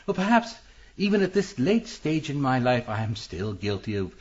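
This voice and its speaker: An actor playing an older, genteel publisher in a radio mystery, formal, smug and easily flustered, with a pompous turn of phrase. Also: male voice, medium pitch, big pitch swings, normal speed, husky, highs cut off. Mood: defensive. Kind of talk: radio mystery drama acting.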